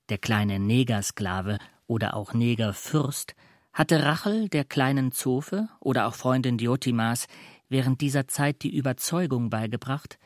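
A man reading literary narration for a radio play, with measured and precise phrasing. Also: mid-range voice, no room sound, clean studio sound.

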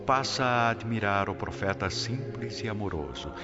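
A man's voice: lowish voice, expressive, moderate pace. A Brazilian man narrating a radio soap in a solemn, formal, dramatic scripted style. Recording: dull lo-fi radio drama recording. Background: very loud dramatic music.

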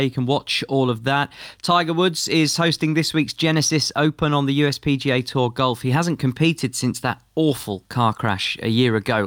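A man reading the morning sports news on the radio, brisk and lightly wry, from the United Kingdom. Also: mid-range voice, fairly bright tone, no echo, clean studio sound. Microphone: studio microphone.

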